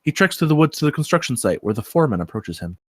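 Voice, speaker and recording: A man's voice: a little high, very animated, quick pace, a bit bright, no room sound. Man narrating a script, dry sound. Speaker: stage-direction reader.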